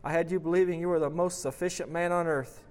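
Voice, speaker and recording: A man voicing a cruel, possessive demon who mocks and taunts in a steady storytelling voice. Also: fairly high pitch, a little fast, natural voice. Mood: taunting. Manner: mocking, taunting, shrieking. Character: mocking, clinging male demon, cruel and possessive.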